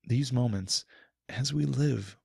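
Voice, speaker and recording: A man puts on a kindly magical pony goddess for a fantasy audiobook. Her voice is lifted in pitch, calm and earnest, full of hope, and recorded dry. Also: slow, gravelly, breathy edge, no room sound, full character voice. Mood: earnest, hopeful. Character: gentle, cheerful, hopeful magical pony goddess, female. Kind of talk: audiobook character voice.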